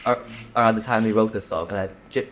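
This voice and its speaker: A man's voice: lowish voice, quick pace, a bit bright. A young man from Hong Kong, a drummer, chatting casually in an interview. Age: young adult. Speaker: band drummer. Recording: ambient room noise behind.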